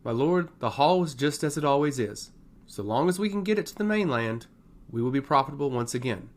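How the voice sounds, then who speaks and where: A man's voice: mid-range voice, lively intonation, a little fast, natural voice. A man voicing a stoic, elderly female royal advisor in a fantasy story, formal and deferential.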